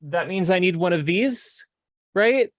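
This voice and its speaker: A man's voice: high-pitched, measured pace, dry recording. Young male podcast host, casual, filler-heavy, dry close recording. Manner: relaxed, chatty, lots of filler ums.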